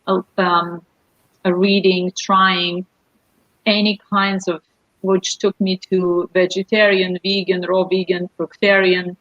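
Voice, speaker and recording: A woman's voice: lowish voice, little pitch movement, slow pace, no room sound. A woman from Ukraine with a Ukrainian accent, a guest coach telling her life story in earnest, slightly broken English over a video call. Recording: dry, lo-fi video call audio.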